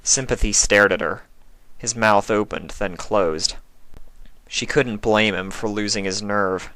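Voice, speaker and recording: A man's voice: lowish voice, steady tone, a little slow, pushed and bright, dry recording. A man reading scripted fan fiction as a narrator.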